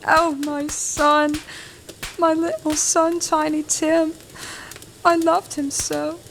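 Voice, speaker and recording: A woman's voice: high voice, little pitch movement, takes it slowly, creaky, fairly bright tone, a little hiss or hum, exaggerated voice. A woman playing a tender, sorrowful mother mourning her little son in a radio play. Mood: grieving.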